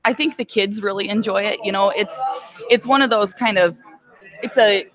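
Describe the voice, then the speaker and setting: A woman's voice: mid-range voice, normal speed, a crowd in the background, poor audio. A woman in her thirties, a girls basketball head coach, answering interview questions in a plain-spoken way with many fillers. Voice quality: loud voice.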